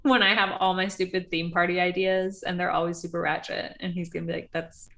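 A woman's voice: fairly low pitch, fairly quick, vocal fry. Chatty young woman, laughing and cursing. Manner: animated, laughs often, swears freely. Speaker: podcast co-host. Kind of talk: casual reality TV recap chat.

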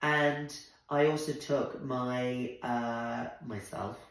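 A man from Zimbabwe, a drag performer guesting on a podcast, telling a story in animated, indignant bursts with quoted dialogue. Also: medium pitch, drawn-out words. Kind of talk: casual conversational storytelling. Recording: very echoey room.

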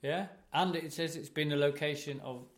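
A man's voice: fairly high pitch, fairly even intonation, normal speed. Dry, wry man in an echoey room. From Sweden. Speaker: podcast co-host. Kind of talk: casual banter.

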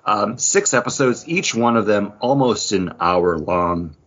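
Male podcast host, low and rough-edged, casual and halting, rambling delivery. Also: lively intonation, a bit bright. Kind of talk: casual chat.

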